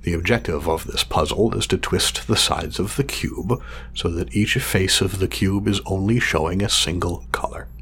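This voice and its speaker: An actor playing a meticulous male scientist in an audio drama, speaking formally and wordily, halting when flustered. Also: sits low, a bit bright, slight background noise. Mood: measured, pleased. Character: meticulous, fussy male scientist testing his drone creation.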